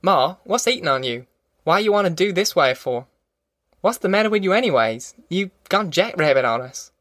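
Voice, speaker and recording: Man voicing a direct young farmhand, fairly high voice. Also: lively intonation, normal speed, a bit bright, no room sound, clean studio sound. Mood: exasperated. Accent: rural Southern American. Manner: folksy, direct, persuading. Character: plain-spoken young farm man, practical grown son. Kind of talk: audiobook character acting.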